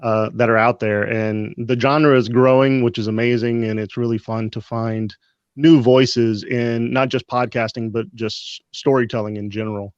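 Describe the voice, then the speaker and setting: A man hosting a live stream interview, asking questions in a relaxed way with some hesitations. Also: mid-range voice, normal speed, smooth, dry recording. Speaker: podcast society host. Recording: dull, dry lo-fi mic.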